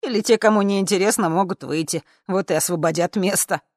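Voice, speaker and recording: A woman's voice: mid-range voice, a little fast, a little mellow, dry recording, pitched above the performer's own voice, natural voice. A brash male bar musician, as a female storyteller puts him on in an audiobook. The delivery is insistent and slangy, full of earnest pleading and boyish pride, in a dry recording. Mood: proud, breezy.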